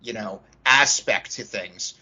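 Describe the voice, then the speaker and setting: Male co-host, forceful and raspy, mid-range pitch, casual panel talk on slightly lo-fi audio. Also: slow. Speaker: podcast co-host. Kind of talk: casual panel discussion on tabletop role-playing. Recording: dull, band-limited audio.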